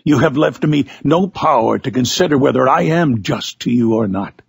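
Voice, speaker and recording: Male reader as horrified scientist rejecting his creation: vehement, archaic, husky, with wide pitch swings. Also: lively intonation, normal speed, no room sound, studio quality. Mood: horrified, cursing. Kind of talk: dramatic reading of a Gothic novel.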